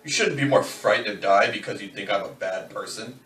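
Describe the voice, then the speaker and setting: In a roomy, slightly noisy recording, a low-voiced killer acted by a man speaks with measured menace. He is philosophical and formal, a cold fatalist in a dramatic reading of a novel. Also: fairly bright tone, lightly acted. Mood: calm, philosophical. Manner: measured, deliberate, formal phrasing. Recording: roomy, distant, faint background noise.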